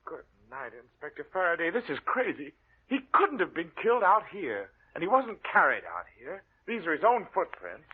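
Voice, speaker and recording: An actor playing a polite, rattled stepson of a wealthy murdered man, earnest and excitable, in 1940s radio style. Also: a little high, moderate pace, slightly rough. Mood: baffled, agitated. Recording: muffled old radio recording.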